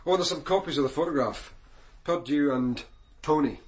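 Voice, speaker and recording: A man playing a senior police detective in a radio crime drama, Scottish, with a measured, dry, authoritative manner. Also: on the high side, a little hiss or hum, lightly acted. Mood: brisk, dry.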